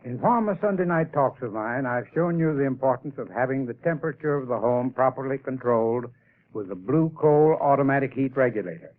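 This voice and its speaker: A man's voice: mid-range voice, a little fast, narrow, tinny audio. A man giving a scripted, friendly and persuasive sales talk on a 1930s radio broadcast. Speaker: advertising spokesman.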